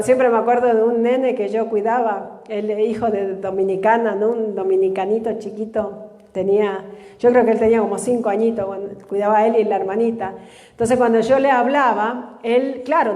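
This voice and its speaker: A woman's voice: medium pitch, a little mellow. Woman preaching in an echoey church hall. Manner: reads scripture slowly and has the congregation repeat after her. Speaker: pastor. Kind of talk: live church sermon teaching.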